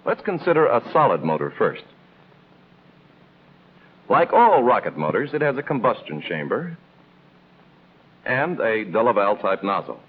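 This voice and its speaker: An American man reading scripted instructional narration in the style of a 1950s military training film, explaining in a measured, didactic way with clear emphasis. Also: on the low side, big pitch swings. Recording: tinny band-limited old film soundtrack, noisy.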